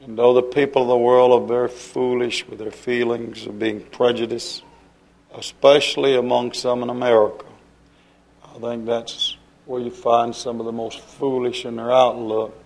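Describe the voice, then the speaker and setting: A man giving an informal sermon in plain, folksy speech, slowing down to list things one by one. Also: medium pitch, little pitch movement, darkish tone, rough recording. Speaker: preacher.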